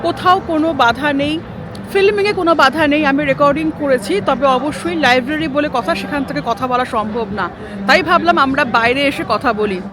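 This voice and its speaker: High-pitched Bengali woman vlogger. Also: noisy background. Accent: Indian Bengali. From India. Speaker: travel vlogger. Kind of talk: vlog narration to camera. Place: outdoors in a city square and voice-over.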